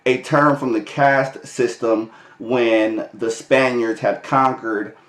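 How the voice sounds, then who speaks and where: A man's voice: mid-range voice, fairly even intonation, slow pace, fairly bright tone. An American man talking casually to his vlog viewers, with loose chatty delivery, restarts and frequent chuckles. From United States. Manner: loose and conversational, many fillers and restarts, laughs often. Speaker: vlogger. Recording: echoey room.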